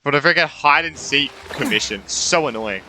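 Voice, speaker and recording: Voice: medium pitch, lively intonation, normal speed, bright, forceful tone, slight background noise, rough recording. A streamer giving casual game commentary, muttering reactions and repeating phrases. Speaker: gaming streamer. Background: game music.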